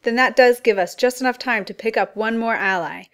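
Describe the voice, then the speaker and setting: A woman playing a wise, calm male mentor in a family radio play, measured, reassuring and formal. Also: fairly high pitch, lightly acted.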